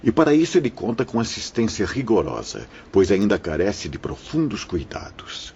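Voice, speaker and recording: A deep-voiced, fatherly old-school priest. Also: huge pitch swings, darkish tone. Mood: grave, reassuring. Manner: paternal, measured, sermonizing. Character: kindly, grave priest guiding troubled souls. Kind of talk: audio drama acting. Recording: muffled audio with clear background noise.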